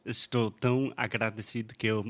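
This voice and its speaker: A man whose first language is English hosts a relaxed chat in Portuguese, choosing his words with care. His voice sits fairly low. He sounds easygoing and casual. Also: moderate pace. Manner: careful learner's Portuguese, relaxed, laughs easily. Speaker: podcast host and English teacher. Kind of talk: casual conversational interview.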